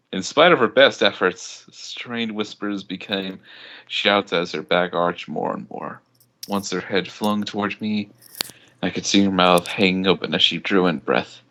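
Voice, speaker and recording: Male amateur story reader, low voice, very unhurried dramatic delivery of fan fiction. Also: fairly bright tone, highs cut off. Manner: breaks into laughter at the text.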